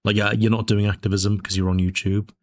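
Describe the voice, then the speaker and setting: Lower-voiced man hosting a commentary channel. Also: level tone, a little mellow. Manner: measured, discursive, with frequent fillers and restarts. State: dry voice, sipping tea. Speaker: political commentary channel host. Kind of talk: casual spoken commentary.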